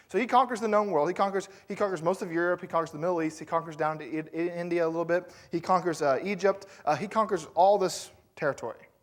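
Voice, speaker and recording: Chatty, conversational male preacher. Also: on the high side. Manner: conversational storytelling preacher with fillers and rhetorical asides. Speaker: pastor. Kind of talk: sermon.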